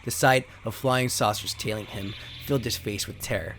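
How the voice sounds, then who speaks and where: A man's voice: lowish voice, fairly even intonation, normal speed, fairly bright tone. Man dramatically narrating over background noise. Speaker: narrator and host. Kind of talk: dramatic reading of pulp sci-fi card stories. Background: sound effects and ambience.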